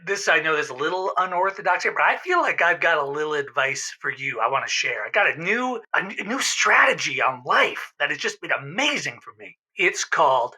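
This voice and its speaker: Naive, gushing male call-in guest, piercingly bright, slightly high voice, earnest comedy drama. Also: sing-song, brisk, few pauses, slightly rough, exaggerated voice. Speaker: caller. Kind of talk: scripted audio comedy.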